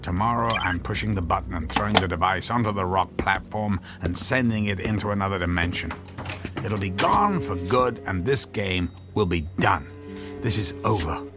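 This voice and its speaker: A man acting an anxious, weary inventor husband with a halting manner in a radio drama. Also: sits low, lightly acted. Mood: weary, determined. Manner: halting, stammering when flustered. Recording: music behind the voice.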